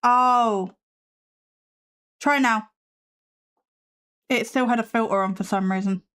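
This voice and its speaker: A woman's voice: a little high, slow pace, fairly bright tone, clear, resonant tone. A woman hosting a live stream, reacting with sassy, skeptical commentary while losing her voice. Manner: sassy, skeptical, swears casually. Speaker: livestream host reacting to videos.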